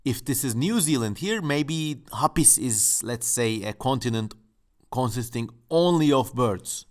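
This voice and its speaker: A man speaking English hosts his channel from home, his voice sitting at an ordinary middle pitch. He muses along casually and playfully, with a tongue-in-cheek, joking manner. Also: animated, measured pace, a little mellow. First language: Turkish. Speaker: channel host. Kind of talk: casual exploratory commentary.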